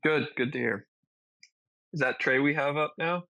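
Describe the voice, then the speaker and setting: A man's voice: fairly high pitch, moderate pace, pushed and bright, no echo. A man co-hosting a casual chat, upbeat and affable. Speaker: co-host.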